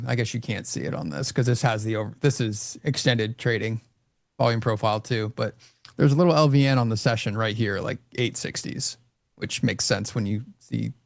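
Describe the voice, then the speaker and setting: A man with a gravelly, creaky voice chats loosely about his trades and fills his sentences with likes and you-knows. The sound is dry and close to the mic. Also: on the low side, moderate pace, a little mellow, dry recording. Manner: casual, rambling, filler-heavy. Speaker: trader host. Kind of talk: live trading commentary.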